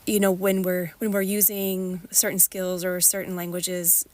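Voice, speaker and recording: A woman's voice: fairly low pitch, level tone, normal speed, a little mellow. A woman hosting a podcast interview, responding in a casual, thinking-aloud way. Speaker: podcast host.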